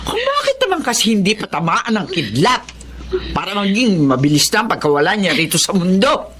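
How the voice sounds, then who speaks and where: A woman's voice: medium pitch, sing-song, a little fast, fairly bright tone. An actress playing a blunt, motherly older neighbor woman in a radio drama. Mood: indignant. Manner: blunt, outspoken.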